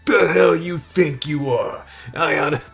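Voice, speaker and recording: Man acting out a husky cartoonish teenage school bully. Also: a little high, a little slow, fairly bright tone, soft background music, heavily acted. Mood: taunting. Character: cocky teenage school bully who turns cowardly and grovelling. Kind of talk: anime fan audio roleplay.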